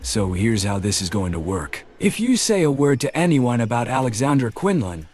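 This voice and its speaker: A man voicing Leo, a thickset hired enforcer who keeps a jovial tone while making quiet threats and calling people "mate", in an audiobook. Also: medium pitch, sing-song, normal speed. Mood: menacing. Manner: cheery tone over quiet threats, says "mate".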